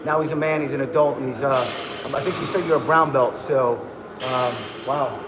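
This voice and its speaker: A man's voice: medium pitch, fairly even intonation, moderate pace, very noisy. A man hosting a casual livestream, talking in a hyped, slangy way and calling viewers bro and man. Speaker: livestream host. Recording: echoey room with music behind.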